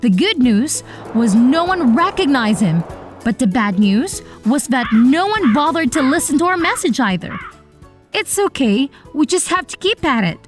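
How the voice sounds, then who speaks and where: A woman voicing an earnest, optimistic young heroine who narrates her own animated story in an expressive, dramatic way. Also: sits high, moderate pace. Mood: earnest storytelling. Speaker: narrator and lead character. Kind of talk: animated first-person story narration with acted dialogue. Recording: voice over background music. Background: music.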